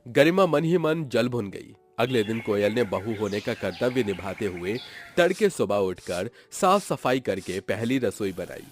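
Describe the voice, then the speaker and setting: A man's voice: lowish voice, big pitch swings, a little slow, faint music behind. A man narrating a scripted moral story in a dramatic storytelling style.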